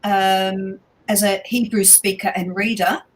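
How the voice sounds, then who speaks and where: A woman from Australia or New Zealand co-hosting a casual live stream, chatty and quick to jump in or agree. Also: medium pitch, steady tone, a little hiss or hum. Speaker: co-host.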